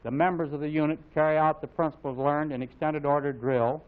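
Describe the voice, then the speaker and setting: An American man reading scripted military instructional narration in a formal, authoritative, measured way, as on a mid-century training film. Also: on the high side, little pitch movement. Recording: phone-like vintage film soundtrack, narrow band.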